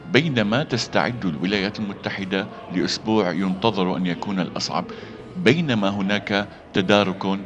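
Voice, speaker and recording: A man teaching a voice-over lesson, halting with fillers and restarts between read-aloud demonstrations. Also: deep voice, noisy background. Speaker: voice acting coach. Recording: dull lo-fi sound with faint music.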